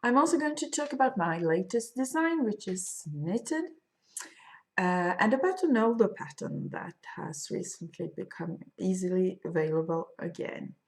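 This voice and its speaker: A woman in her fifties with a Swedish accent, a crochet designer chatting casually in her video podcast from a home studio, thoughtful with many pauses. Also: medium pitch, animated, a little mellow. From Sweden. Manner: slow, thoughtful, with frequent pauses and fillers. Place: home studio room, indoors. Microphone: fixed camera at desk.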